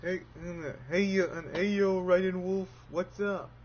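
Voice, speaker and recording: A man's voice: high voice, fairly even intonation, measured pace. A man giving casual live commentary as he streams a video game. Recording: dull mic over game music. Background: game music.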